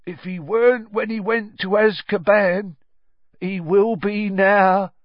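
A man voicing a dour bus driver who talks slowly and grimly, with a grunting manner, in a storybook. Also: sits high, steady tone, dry recording, lo-fi sound, voice pushed up. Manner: slow, dark, grunting. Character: dour, slow-talking older male bus driver.

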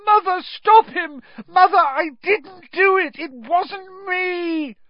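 A man's voice: slow, no room sound, dull audio. Shrieking, terrified teenage boy. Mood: hysterical terror. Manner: shrill, screaming, stammering pleas. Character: terrified freckled teenage boy pleading for his life.

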